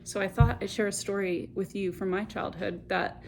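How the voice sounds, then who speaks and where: A woman hosting a children's story time, reading and chatting in an expressive, conversational way straight to young viewers. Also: medium pitch, normal speed, vocal fry, slight background noise. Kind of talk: picture-book read-aloud with chatty asides.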